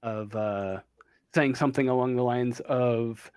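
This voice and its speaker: Halting, slow-talking game master man. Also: mid-range voice, darkish tone, clear, resonant tone, no room sound. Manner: halting, many ums and uhs. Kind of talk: narrating a tabletop game. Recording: online voice chat.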